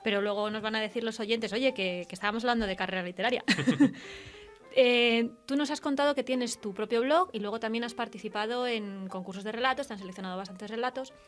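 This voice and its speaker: Fast-talking Spanish woman hosting radio chat. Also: a little high, faint music behind. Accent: Spain Spanish. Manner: chatty, agreeable, interjecting affirmations. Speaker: radio host interviewing a writer. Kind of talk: casual literary radio interview.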